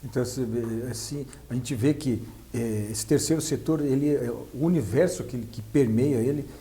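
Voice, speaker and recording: A man's voice: medium pitch, moderate pace, dark tone. A man with a Brazilian accent interviewing casually, repeating words as he feels his way toward each question. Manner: hesitant, repeats words while forming questions.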